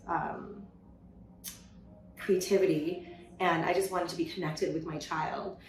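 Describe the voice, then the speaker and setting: A woman from California, a podcast guest, telling her story in a lively, casual way with many "you know" fillers. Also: lowish voice, roomy sound, a little hiss or hum, poor audio. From California, USA. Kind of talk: casual interview conversation.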